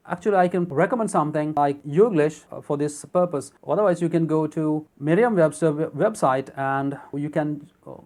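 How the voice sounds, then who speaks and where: An English teacher, speaking English as a second language, explains vocabulary and pronunciation in a steady, explanatory way and reads word lists aloud one by one. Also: mid-range voice. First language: not English. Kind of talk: narrated vocabulary and pronunciation lesson.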